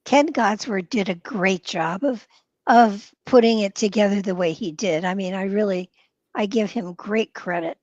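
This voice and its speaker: A woman's voice: mid-range voice, moderate pace, darkish tone, no echo. Woman guest on a dull, lo-fi line. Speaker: interview guest. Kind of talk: casual conversation.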